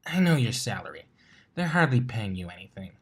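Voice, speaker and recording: A man's voice: on the low side, big pitch swings, brisk, fairly bright tone, breathy edge, full character voice. A man voicing a brash young female pegasus pony with a casual, dry, teasing manner in a story reading. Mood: smug, casual.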